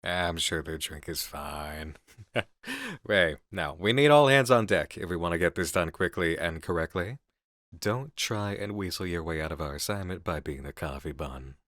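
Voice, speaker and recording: An actor playing a composed, cerebral male coworker in an ASMR roleplay drama, speaking persuasively with deliberate pauses. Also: deep voice, expressive, fairly bright tone, dry recording, studio quality. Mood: wry, friendly.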